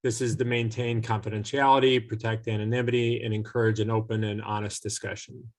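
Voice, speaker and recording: A man hosting an online event, laying out the rules and introducing a guest in a steady, businesslike way with occasional fillers. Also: lowish voice, fairly quick. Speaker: host, moderator.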